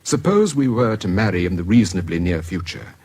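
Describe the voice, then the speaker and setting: A calm, shrewd British suitor in a radio play. His voice is deep and very husky, and it moves expressively as he reasons and gently persuades. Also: fairly quick, full character voice. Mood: tender, persuasive. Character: calm, shrewd suitor counselling the woman he loves. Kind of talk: radio drama acting.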